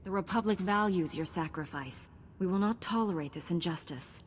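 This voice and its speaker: A woman's voice: on the low side, normal speed, big-room sound, slight background noise, close to an everyday voice. A woman voicing a dutiful, earnest young knight hero in a video game, speaking formally and with composure. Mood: earnest, resolute. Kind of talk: video game dialogue acting.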